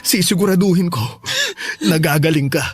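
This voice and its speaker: A man's voice: fairly high pitch, moderate pace, breathy edge, faint music behind. A man acting a devoted, distraught husband and father in a Filipino radio drama, pleading emotionally and stammering. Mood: tearful, resolute. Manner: emotional, stammering, repeats himself.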